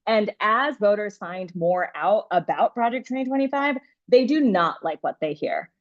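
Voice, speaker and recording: A woman's voice: medium pitch, animated. A woman whose first language is Bengali, a campaign organizer giving an enthusiastic talk on an organizing call. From Bangladesh. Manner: fast, enthusiastic, upbeat talk with restarts. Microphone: video or phone call. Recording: dull lo-fi call audio.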